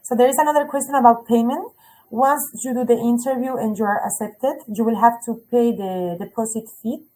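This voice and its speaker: A woman's voice: fairly high pitch, a little fast, dark tone, poor audio. A woman representing a school, explaining fees and places in a webinar with frequent self-corrections. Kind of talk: webinar information talk.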